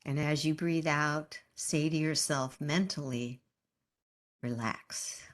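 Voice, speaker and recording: A woman teaching meditation in a low voice. She speaks very slowly and gently, leaving calm pauses between short instructions. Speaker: yoga nidra meditation teacher.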